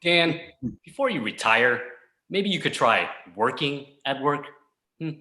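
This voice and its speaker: A man's voice: medium pitch, animated, sharp tone, reverberant. A man playing a smug, condescending young yuppie boss in a comedy table read. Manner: condescending, drawn-out delivery.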